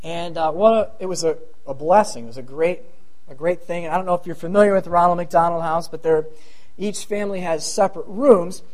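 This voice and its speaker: A man's voice: high voice, brisk, darkish tone. Thin-voiced man preaching a sermon. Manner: self-deprecating, rambling asides. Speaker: pastor.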